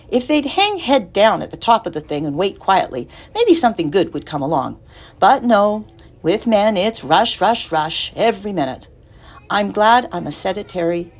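A woman voicing a wise, calm female spider with a gentle, patient, matter-of-fact manner. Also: mid-range voice, breathy edge. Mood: musing.

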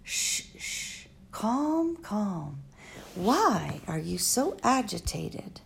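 A woman's voice: mid-range voice, big pitch swings, slow pace, vocal fry, a little hiss or hum, heavily acted. A woman reading an audiobook, voicing an eccentric elderly female philosopher who speaks in stilted, formal phrases. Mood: musing.

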